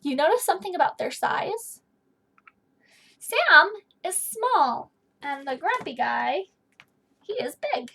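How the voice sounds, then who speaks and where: High-voiced woman teaching young children. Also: lively intonation, takes it slowly, creaky, long pauses, sharp tone. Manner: questioning, explanatory, addressing children directly. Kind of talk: read-aloud lesson for young children. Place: small indoor room with bookshelf.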